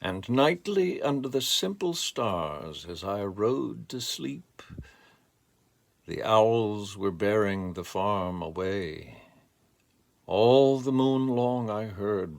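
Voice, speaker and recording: A man with a gravelly voice speaking in a deliberate, measured way, as a poetry reader reflecting and reciting expressively. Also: lowish voice. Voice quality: gravelly, creaky voice.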